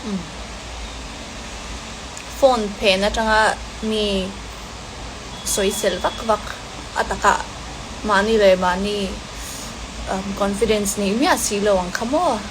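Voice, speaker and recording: A woman answering fan questions in a relaxed, hesitant way, mixing several languages and pausing with fillers. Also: mid-range voice. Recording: steady background hiss.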